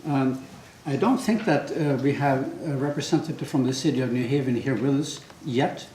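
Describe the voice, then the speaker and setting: An older man with a Swedish accent welcomes a conference audience. He is easygoing and jokes a little, and he fills his pauses with "uh". His voice echoes around a large hall. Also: medium pitch. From Sweden. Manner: relaxed, joking, many fillers. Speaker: conference host. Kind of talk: welcoming remarks. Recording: echoey hall, faint background hum.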